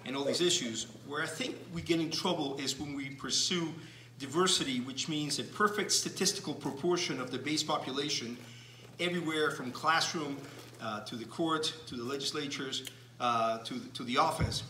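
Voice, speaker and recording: A Cuban-born man speaks in a bright, forward tone, laying out his points slowly with frequent restarts and fillers. He sounds far from the microphone in a reverberant room. A faint ambient hiss sits beneath the voice. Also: on the high side. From Cuba. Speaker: speaker giving a talk. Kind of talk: lecture to an audience.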